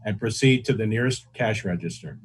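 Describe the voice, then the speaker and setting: A man's voice: on the low side, brisk, slight background noise, low-quality recording. A man chatting casually in a group, with a joking, teasing way of talking.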